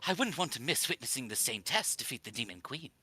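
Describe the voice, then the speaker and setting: A woman voicing an earnest, polite male high priest in a visual novel read-aloud. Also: very animated, quick pace, pushed and bright, breathy edge, faint music behind, exaggerated voice.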